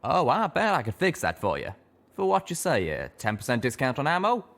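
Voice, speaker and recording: A man's voice: medium pitch, huge pitch swings, a little fast, a bit bright, pitched above the performer's own voice. A man voicing a cheerful tinkering cowboy pegasus with a folksy drawl and rustic slang, in a storybook reading. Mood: cheerful, wheeling.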